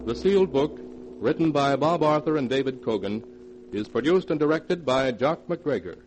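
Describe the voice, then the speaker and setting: A man's voice: medium pitch, animated, normal speed. A man announcing credits in the formal style of 1940s radio. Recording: very noisy vintage radio recording.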